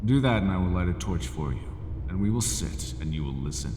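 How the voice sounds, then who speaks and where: Man doing a rumbling, gravelly old beast of the maze, slow and softly menacing, lively melody, cavernous echo, steady hiss. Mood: persuasive. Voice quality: deep gravelly voice. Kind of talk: ASMR roleplay. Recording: echoey cavernous reverb with steady noise.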